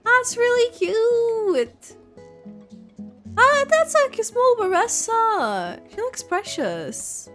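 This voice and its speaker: A woman gives casual reaction commentary as she plays a game. Her voice is high and very animated, unhurried, drifting into trailing asides. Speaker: video game streamer. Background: game music.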